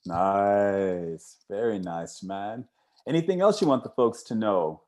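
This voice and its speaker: Mellow, lowish-voiced American man hosting. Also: expressive, slow pace. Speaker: interview host. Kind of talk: casual chat.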